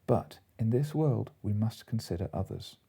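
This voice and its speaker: Pompous husky gentleman, read by a man. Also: on the low side, animated, moderate pace, heavily acted. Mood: pompous, courteous. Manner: pompous, elaborately polite. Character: mock-courteous gentleman storyteller, grandiloquent and wily. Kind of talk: audiobook character voice.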